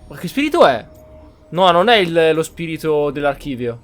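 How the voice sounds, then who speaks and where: A man's voice: on the high side, very animated, fairly quick, fairly bright tone. A man giving casual gameplay commentary as a game streamer.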